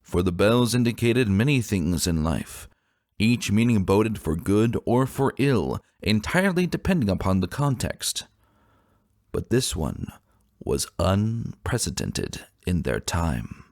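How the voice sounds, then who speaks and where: A man's voice: lowish voice, very animated, a little slow, a little mellow, no echo, studio quality. A man giving a solemn, theatrical dramatic reading of a scripted story. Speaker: narrator. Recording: music behind the voice. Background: background music.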